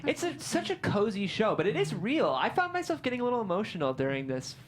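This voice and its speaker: Casting: female panel host, chatty and encouraging, very lively intonation, quick delivery. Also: mid-range voice, slight background noise. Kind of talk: casual panel discussion.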